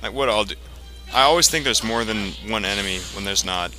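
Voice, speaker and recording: A man giving casual, explanatory gameplay commentary in a halting way, as the host of a let's play. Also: fairly low pitch, sharp tone. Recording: game music behind the voice. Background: video game music.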